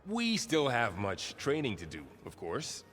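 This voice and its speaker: A man playing a patient, dry-witted hunting mentor in a game cutscene, calm and instructive. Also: medium pitch, unhurried. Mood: dry, thoughtful. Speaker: game character actor. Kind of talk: dramatic game cutscene acting. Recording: distant reverberant hall, music behind.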